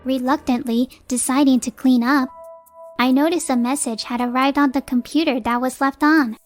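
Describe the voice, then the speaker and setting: A woman's voice: sits high, steady tone, normal speed, faint music behind, close to an everyday voice. An actress playing a determined, exasperated young working wife and mother, narrating and speaking dialogue in a manga dub. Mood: exasperated narration. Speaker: narrator and lead character.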